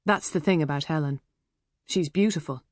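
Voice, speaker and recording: A woman's voice: deep voice, normal speed, no room sound, clean studio sound. A woman with an Irish accent narrating a first-person novel in a chatty, wry way. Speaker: audiobook narrator.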